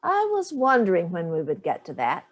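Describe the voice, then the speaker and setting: Woman acting a sharp-tongued, sardonic mother. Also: fairly low pitch, huge pitch swings, moderate pace, muffled recording. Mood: sarcastic, cutting. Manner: clipped, cutting, sarcastic. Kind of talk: staged play reading.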